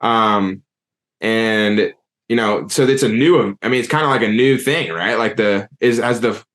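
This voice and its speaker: A man hosting a casual podcast, loose and excited, with lots of likes and you-knows. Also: on the low side, unhurried. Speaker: podcast host.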